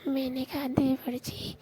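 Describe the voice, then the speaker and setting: A woman telling an intimate confessional story in a hushed, breathy and halting way. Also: high voice. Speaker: storyteller in a staged call.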